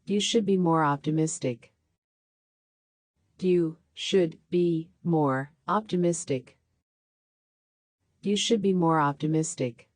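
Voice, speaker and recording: A woman reading practice sentences aloud for a language lesson, saying each one three times with a slowed repeat. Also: sits low, darkish tone, no echo. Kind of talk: slow scripted sentence drills.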